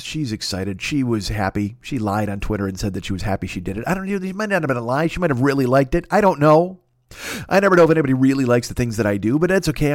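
A man's voice: medium pitch, no room sound, studio quality. Rambling, profane man hosting a podcast. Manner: loud-mouthed, talkative, digressive; profane, self-deprecating. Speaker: podcast host. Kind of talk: rambling comic monologue with sponsor plugs.

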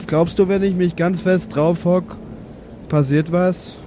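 Higher-voiced man role-playing at a tabletop. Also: fairly even intonation, slow pace, warm tone, slight background noise. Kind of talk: improvised in-character role-play.

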